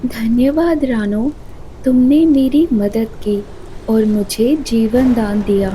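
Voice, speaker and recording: A woman's voice: fairly high pitch, slow pace, mellow, slight background noise, poor audio. A woman voicing a benevolent golden fairy in a cartoon, gracious and reassuring as she offers help. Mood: gracious, calm.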